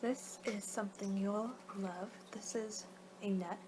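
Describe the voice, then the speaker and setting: A woman performing a soft-spoken, partly whispered ASMR roleplay as a casual vampire, rambling and halting as she goes. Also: medium pitch, steady tone, very noisy. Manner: rambling, halting, losing her train of thought. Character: young-for-her-kind female vampire guiding a client, casual, a little exasperated.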